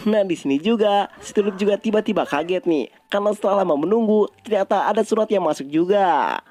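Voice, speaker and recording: A man's voice: high voice, a little fast, darkish tone. A man narrates a story recap. His delivery is colloquial and conversational, like casual scripted storytelling for an online video.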